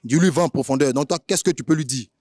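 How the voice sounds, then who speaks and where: A man's voice: on the high side, fast talker, darkish tone, no room sound, studio quality. A man with an Ivorian accent hosting an informal interview, chatty, using street slang and often rephrasing his questions. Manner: chatty, repeats filler tags and rephrases questions.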